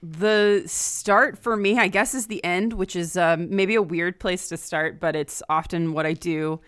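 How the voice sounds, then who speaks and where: A woman guest co-hosting a podcast, chatting casually in a halting way with lots of "like". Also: on the low side, fairly even intonation, fairly bright tone, smooth, dry recording, clean studio sound. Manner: halting, peppered with "like". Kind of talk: casual chat.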